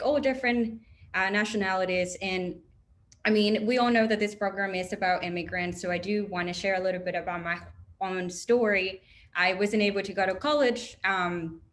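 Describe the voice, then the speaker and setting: A woman's voice: mid-range voice, fairly bright tone, slight background noise. Upbeat, chatty woman on a video call. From Chile. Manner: upbeat, chatty, many fillers. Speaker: host, operations manager. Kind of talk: online info session hosting.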